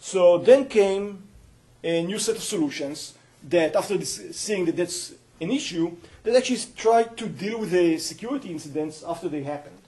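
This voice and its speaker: A middle-aged man with an Israeli accent, lecturing to a university audience. His voice sits a touch high for a man but sounds soft and mellow rather than bright. He explains things earnestly, with frequent hesitations and restarts as he finds his words. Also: expressive, moderate pace. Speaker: company founder giving a guest lecture. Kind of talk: university colloquium talk.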